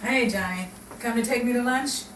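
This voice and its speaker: A woman plays a level-headed, teasing girlfriend in a vintage radio drama. Her voice is high yet dry and wry. It comes from off the mic, with clear noise around it. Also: normal speed, close to an everyday voice. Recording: echoey distant mic, noisy with crowd.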